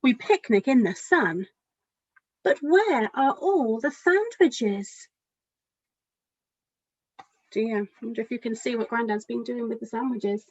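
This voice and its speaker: A woman's voice: on the high side, animated, takes it slowly, pauses often, slightly rough, no room sound, muffled recording. A woman children's author reading a picture book aloud expressively, speaking directly to young listeners.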